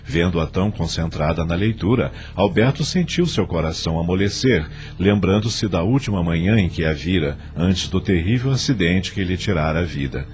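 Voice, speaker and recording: A man's voice: low-pitched, lively intonation, normal speed, few pauses, a little mellow. A man with a Brazilian accent narrating an audio novel as scripted, dramatic storytelling.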